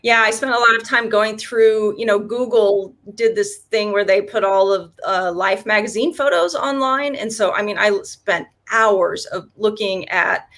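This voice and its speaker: A woman's voice: mid-range voice, a little slow, few pauses, a bit bright. A woman whose first language is German, an author answering interview questions in a chatty way, with many fillers and restarts.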